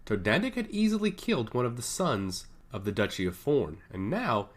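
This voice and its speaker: A man reads a fantasy story aloud with great animation. His pitch swoops high and low through every sentence, which gives the narration a sing-song lilt. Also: very animated, moderate pace.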